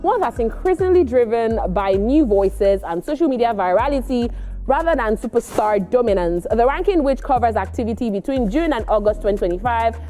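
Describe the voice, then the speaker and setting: A woman with a Nigerian accent presenting entertainment news and casual commentary, expressive and emphatic in her delivery. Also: a little high, fairly quick, faint music behind.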